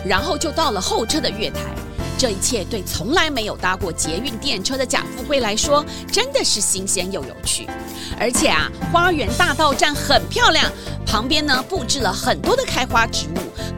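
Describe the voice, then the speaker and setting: A woman narrating a children's story in an animated storytelling style. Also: fairly high pitch.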